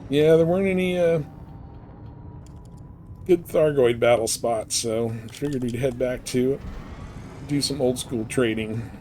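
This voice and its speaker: A man's voice: mid-range voice, a little slow, pauses often, warm tone, slight background noise. A man giving casual, folksy live commentary as he streams a game, laid-back in manner.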